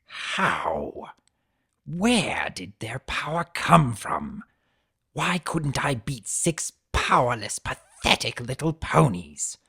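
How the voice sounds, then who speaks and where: A man's voice: mid-range voice, very animated, slow pace, creaky, sharp tone, slightly rough, full character voice. A man voicing an ancient, weakened centaur villain in a story, bitter and enraged, snarling and muttering his words. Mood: furious, anguished.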